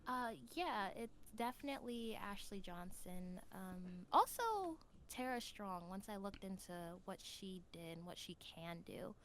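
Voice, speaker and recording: A woman's voice: mid-range voice, big pitch swings, measured pace, hardly any pauses, a bit bright, slight background noise. Bubbly young-sounding woman guest. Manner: bubbly and enthusiastic, giggly. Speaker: guest voice actor. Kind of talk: casual chat.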